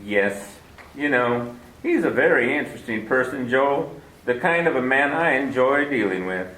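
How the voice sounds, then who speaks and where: An actor playing a smooth, scheming older villain who talks in a genteel, unhurried way of menace, in a 1940s radio drama. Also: male voice, mid-range voice, lively intonation, sharp tone, a little hiss or hum. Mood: sinister. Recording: roomy old radio broadcast recording.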